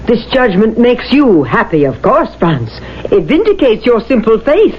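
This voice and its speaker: An actress playing a proud, sceptical, argumentative woman in a radio drama, sarcastic and sharp-tongued. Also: on the high side, big pitch swings, unhurried. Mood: bitter, scornful. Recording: muffled, noisy old radio recording.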